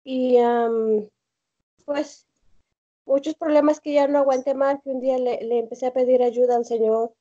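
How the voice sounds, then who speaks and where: A young woman with a Mexican accent calling in on a video call from home, telling her story haltingly with many fillers and restarts. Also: high voice, fairly even intonation, dark tone. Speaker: caller. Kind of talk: hesitant personal testimony on a video call. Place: home room indoors. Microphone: laptop or phone on a video call. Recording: dull lo-fi video call audio.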